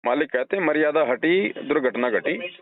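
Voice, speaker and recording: A man's voice: on the high side, animated, normal speed. A man giving informal religious counsel over the phone, explaining and admonishing, often checking with short questioning tags. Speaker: spiritual adviser taking a recorded call. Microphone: telephone. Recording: tinny phone call recording.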